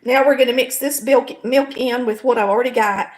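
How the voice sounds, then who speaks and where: A middle-aged woman with a raspy, hoarse voice and a Southern US accent, chatting folksily as she demonstrates a recipe step by step in her home kitchen. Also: a little high, steady tone, brisk. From American South. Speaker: home cooking show host. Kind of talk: casual cooking demonstration.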